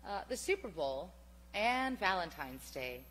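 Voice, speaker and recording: A woman acting a poised old-time radio hostess making a scripted introduction. Her voice is on the low side and animated, ringing in a reverberant hall as she presents deliberately. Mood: welcoming. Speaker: show host. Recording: reverberant hall, faint hum and noise.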